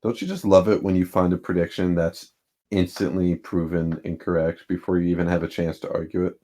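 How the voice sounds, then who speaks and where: A man's voice: low-pitched, flat delivery, fairly quick, warm tone. A man co-hosting a casual sports podcast, laid-back with dry humor and a habit of chuckling as he talks. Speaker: podcast co-host.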